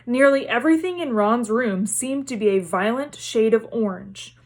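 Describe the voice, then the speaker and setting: Woman reading a kids book out loud, kinda high voice. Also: moderate pace. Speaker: read-aloud reader. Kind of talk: scripted storybook narration, read aloud.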